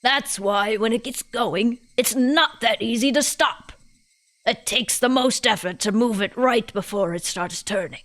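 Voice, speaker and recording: Woman acting a stubborn old country woman, high-pitched. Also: measured pace, a bit bright. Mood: lecturing. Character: stubborn, long-winded old country woman, proud storyteller.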